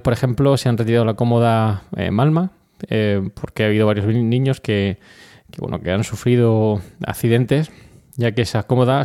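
A man's voice: mid-range voice, dark tone. A man with an accent from Spain hosting an informal solo podcast, explaining things and speaking directly to his listeners. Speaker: podcast host.

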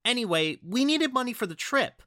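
A man's voice: extremely high pitch, sing-song, a little fast, pushed and bright, no echo, clean studio sound, full character voice. An actor playing an awkward, apologetic man who explains things sheepishly in an audio drama. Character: awkward, flustered, apologetic man reporting mishaps.

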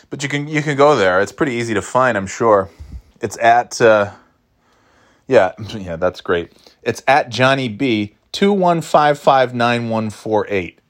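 Rambling middle-aged man, low voice. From New York. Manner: rambling, self-interrupting, wry. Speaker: podcast host. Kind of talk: casual solo podcast monologue.

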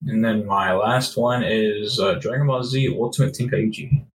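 A man's voice: lowish voice, normal speed, slight background noise, poor audio. A man in his twenties on a casual podcast, joking around with his friends. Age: 20s. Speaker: podcast co-host. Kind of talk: casual chat.

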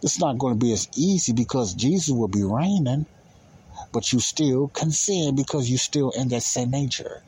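A man's voice: medium pitch, lively intonation, moderate pace, darkish tone, slightly rough. A man teaching the Bible in an informal sermon, speaking emphatically, with repetition and rhetorical questions.

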